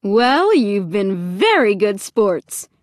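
A woman voicing a cheery female monster game show MC in an audiobook, with bright, showy announcer patter. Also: mid-range voice, big pitch swings, slow pace, dry recording, studio quality.

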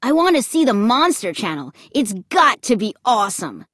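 An eager young boy from a children's story, voiced by a woman. The voice is high and very lively, full of keen exclamation, recorded dry and close. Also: moderate pace, dry recording. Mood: excited.

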